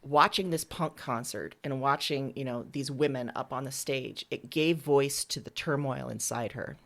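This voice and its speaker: Low-pitched woman, candid and reflective. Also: moderate pace, vocal fry. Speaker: interviewed writer and audio drama creator. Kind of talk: casual interview answers.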